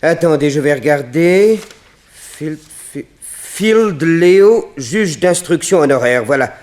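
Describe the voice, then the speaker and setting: A man's voice: a little high, expressive, takes it slowly, a little mellow. An actor playing a fussy male courthouse clerk, offhand and muttering, in a 1960s French radio play. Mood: helpful, muttering.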